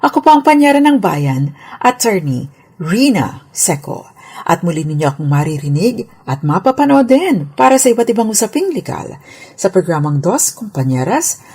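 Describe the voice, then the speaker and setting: A woman's voice: mid-range voice, sing-song, normal speed, dark tone, slightly rough. A Filipino woman, a lawyer on radio, giving a scripted legal explainer in a formal, polite, explanatory manner.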